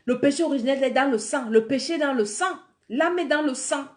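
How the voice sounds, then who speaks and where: A woman preacher leading a sermon and guided prayer while she has a cold. She moves between soft murmured prayer and rising, fervent exhortation. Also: high-pitched, fairly quick.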